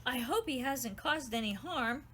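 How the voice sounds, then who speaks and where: A woman's voice: high voice, lively intonation, measured pace, a bit bright, a little hiss or hum, voice pushed up. A woman voicing a polite, apologetic young girl who is eager to help.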